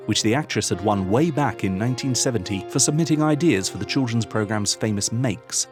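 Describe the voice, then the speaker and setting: A man with a British accent reading scripted documentary narration in formal, measured, wordy phrasing. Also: lowish voice. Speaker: video essayist, narrator. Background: music.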